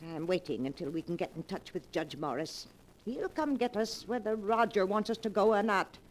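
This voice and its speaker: An actress playing an emphatic, fussy elderly spinster sister in a 1940s radio melodrama, doting yet suspicious. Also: female voice, medium pitch, lively intonation, normal speed, close to an everyday voice. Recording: noisy vintage 1940s radio recording.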